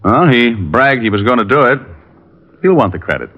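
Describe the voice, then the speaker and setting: An actor playing a laconic, hard-boiled detective, dry and terse, in an old radio crime drama. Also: male voice, medium pitch, sing-song, normal speed, fairly bright tone. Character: laconic hard-boiled male detective narrating his case. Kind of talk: 1940s-50s radio detective narration and dialogue. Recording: dull, band-limited old radio recording.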